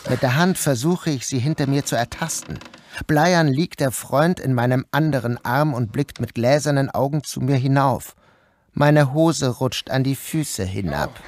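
A man's voice: mid-range voice, measured pace, darkish tone. A man narrating an audio drama in the first person, as its protagonist. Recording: noticeable background noise.

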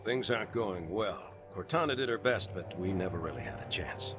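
Grave, low-voiced starship captain. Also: male voice, animated. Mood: grave, resolute. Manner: measured, clipped military authority. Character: grave, steadfast male starship captain, middle-aged. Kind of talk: dramatic video game acting. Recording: game audio under loud music.

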